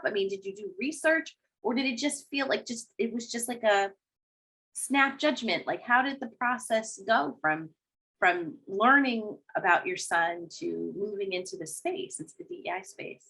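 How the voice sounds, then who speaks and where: A woman's voice: mid-range voice, lively intonation, normal speed, bright, forceful tone, breathy edge, poor audio. A woman hosting an interview, encouraging and affirming as she asks her guest follow-up questions. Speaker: host. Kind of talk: casual interview talk.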